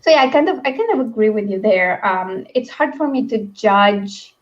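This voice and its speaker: A woman's voice: mid-range voice, lively intonation, moderate pace, muffled recording. A woman hosting a livestream book discussion in a casual, rambling, chatty way. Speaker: host of a book read-along livestream.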